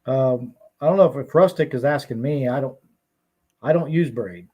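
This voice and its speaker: A man's voice: medium pitch, moderate pace, darkish tone, breathy edge. A man talking as a guest on a casual fishing podcast, easygoing, laughing now and then and restarting his sentences. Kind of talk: casual chat.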